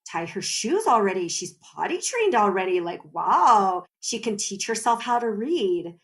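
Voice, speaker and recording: A woman's voice: medium pitch, big pitch swings, a little slow, a bit bright. A woman, a podcast guest, talking animatedly, explaining at length and often breaking into laughter. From United States. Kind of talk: conversational podcast interview.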